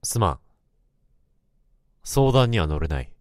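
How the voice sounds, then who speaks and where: A man's voice: sits low, very animated, takes it slowly, a little mellow, no room sound, studio quality, heavily acted. A man playing a brooding office worker who narrates his story in a somber, introspective radio drama. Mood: curt. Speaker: narrator and lead. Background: music.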